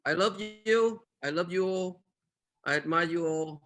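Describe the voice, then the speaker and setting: A man's voice: sits high, unhurried, a bit bright. A man hosting an online poetry event over a video call, speaking effusively with thanks and affection. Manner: effusive, expressing gratitude and affection. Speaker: event host. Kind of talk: closing remarks at an online poetry reading.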